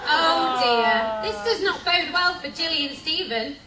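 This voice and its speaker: High-pitched woman narrating, echoey noisy crowd. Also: animated, a little slow. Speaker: narrator of a live radio play. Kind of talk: lively live scripted narration with sound-effect cues. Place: cruise ship venue before a live audience. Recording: echoey distant recording, loud crowd noise.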